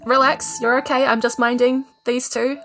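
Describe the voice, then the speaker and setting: A woman with a New Zealand accent improvises casually as a tabletop game player. Her voice is fairly high with a gravelly fry, and faint music plays behind her. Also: level tone, moderate pace, a bit bright.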